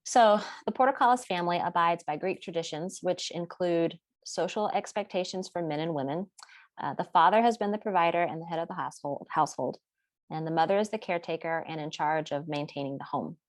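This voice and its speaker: A woman presenting a class project in a steady, explanatory way, partly read from notes. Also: on the low side, a little fast, no room sound. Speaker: student presenter.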